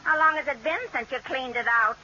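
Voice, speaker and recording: A woman's voice: sits high, fairly quick, sharp tone, full character voice. A woman playing a skeptical, wisecracking, no-nonsense housemaid in an old radio sitcom, with a dry manner. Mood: wry. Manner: dry, sardonic. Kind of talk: 1940s radio sitcom acting. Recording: very noisy, muffled old radio recording.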